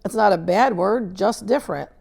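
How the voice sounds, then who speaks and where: A woman's voice: mid-range voice, normal speed, creaky, darkish tone, slightly rough. A woman voicing an earnest, plucky fifth-grade boy in a storybook, informal and insistent as he stands his ground. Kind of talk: storybook read-aloud character dialogue.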